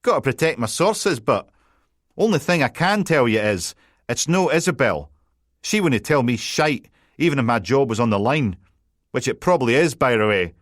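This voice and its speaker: A man's voice: mid-range voice, lively intonation, moderate pace, no room sound, clean studio sound, pitched above the performer's own voice, close to an everyday voice. A man voicing a cocky, needling Scottish newspaper editor in an audiobook. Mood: smug teasing. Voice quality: brash, needling.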